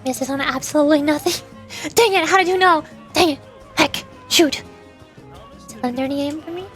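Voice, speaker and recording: A woman's voice: high-pitched, a little fast, fairly bright tone, faint music behind. A woman livestreamer chatting casually with viewers while gaming, in a playful, rambling way. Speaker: livestreamer (VTuber) playing and voicing a game.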